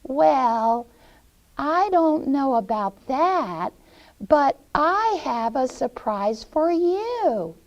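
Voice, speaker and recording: A woman's voice: high-pitched, sing-song, drawn-out words, highs cut off, pitched above the performer's own voice, full character voice. A woman voicing a wicked old fairy-tale witch who coaxes with fake sweetness and gloats theatrically. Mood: fake-nice evasive. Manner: cackling, coaxing, theatrical.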